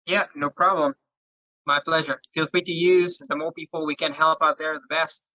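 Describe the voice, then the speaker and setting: A man's voice: fairly high pitch, steady tone, normal speed, pushed and bright, no room sound. A man co-hosting a fitness group call, thanking listeners and encouraging them with "uh" fillers. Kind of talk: wrap-up to a workshop group. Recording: dull lo-fi call audio.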